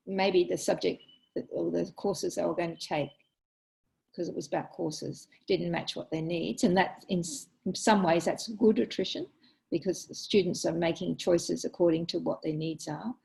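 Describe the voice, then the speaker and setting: A middle-aged woman coordinating an online meeting, presenting data with many ums and halting restarts over a video call. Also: lowish voice, steady tone, creaky, mellow, rough recording.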